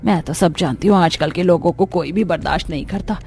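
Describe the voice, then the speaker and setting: A woman's voice: fairly low pitch, a little fast, creaky, darkish tone. A woman voicing a spiteful, scornful aunt in a cartoon story, grumbling with disdain. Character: spiteful, scornful aunt, resentful of her niece.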